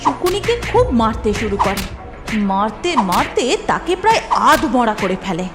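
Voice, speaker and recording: A woman's voice: fairly high pitch, very animated, normal speed, over music. A woman narrating a scripted cartoon story as a storyteller.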